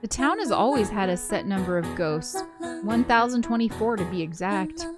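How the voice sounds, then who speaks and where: A woman's voice: mid-range voice, very animated, normal speed, close to an everyday voice. A woman reading a brooding, hazy-headed young ghost girl's first-person narration from a visual novel. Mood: wistful, musing. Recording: prominent background game music.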